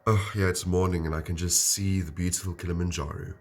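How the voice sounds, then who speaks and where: A teen boy putting on a deep, flat, sarcastic drawl. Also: fast. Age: teens. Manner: mock dreamy, sarcastic.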